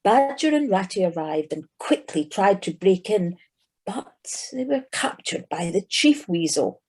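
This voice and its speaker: Female storybook narrator: mellow, slightly husky, taking the script slowly. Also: medium pitch, expressive.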